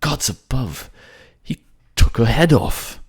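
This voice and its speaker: A man's voice: mid-range voice, lively intonation, husky, pitched above the performer's own voice, heavily acted. A man playing an anxious, eloquent male mage narrating visions in a theatrical fantasy audio drama. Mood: horrified, distraught. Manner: theatrical, halting as visions unfold.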